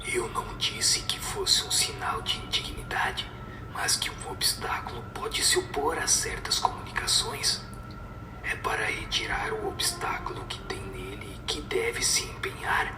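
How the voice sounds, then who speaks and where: A man playing a solemn spirit teacher in a radio drama, speaking in a measured, didactic and breathy whispered voice. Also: lowish voice, expressive. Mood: solemn admonishing. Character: solemn male spirit teacher giving moral answers. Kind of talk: scripted radio drama recitation. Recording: echoing voice over music.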